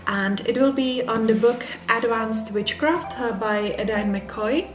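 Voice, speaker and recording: A woman with a Czech accent, a native Czech speaker talking in English, casually reviewing a book with many hesitant fillers and repeated words for emphasis. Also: medium pitch. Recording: echoey room with background music. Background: music.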